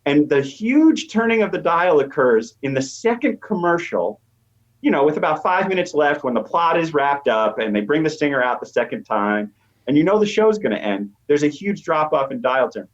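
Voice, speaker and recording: Animated higher-voiced man, dull audio. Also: normal speed. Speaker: academic historian guest. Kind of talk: conversational explanation in an interview.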